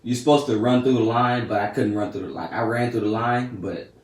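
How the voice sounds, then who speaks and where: A man's voice: medium pitch, fairly even intonation, brisk, echoey room, far from the mic, a little hiss or hum. A teenage boy telling a story to camera in a casual, slangy, chatty way. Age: teens. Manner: laid-back, slangy and chatty. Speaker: vlogger.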